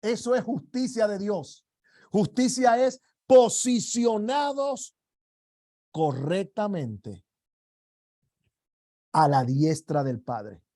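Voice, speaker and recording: Slow, high-voiced preacher. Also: male voice, expressive. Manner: slow, emphatic preaching with rising shouted declarations. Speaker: apostle teaching a ministry class. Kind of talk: sermon.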